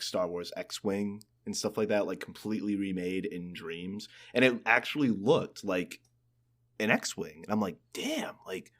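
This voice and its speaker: A man's voice: lowish voice, fairly bright tone. A man co-hosting a podcast, chatting casually and hesitantly, often repeating his opening words. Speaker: podcast co-host. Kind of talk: casual gaming chat.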